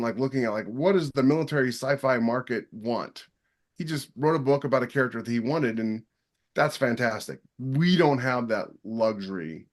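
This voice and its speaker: A man speaking informally and emphatically as a podcast host, rephrasing his points as he goes in a casual interview discussion. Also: mid-range voice.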